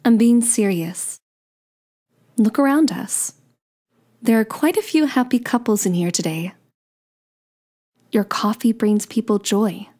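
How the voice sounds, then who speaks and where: Woman acting as slow-talking, sweet young celestial girl. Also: on the high side. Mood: warm, playful. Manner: coy, slow, gently teasing. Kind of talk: ASMR romance roleplay.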